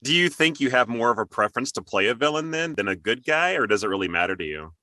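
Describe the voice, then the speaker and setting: A man's voice: mid-range voice, lively intonation, fast, bright, forceful tone, no room sound. A man hosting a casual, conversational interview with a fellow voice actor.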